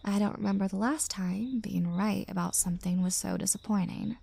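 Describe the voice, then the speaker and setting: Woman acting exasperated young woman, gravelly creak. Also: medium pitch, normal speed, dark tone. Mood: bitter, venting. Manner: venting, sighing, sarcastic asides. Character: exasperated single young woman rescued from a bad date. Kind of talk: roleplay audio drama, intimate conversational monologue.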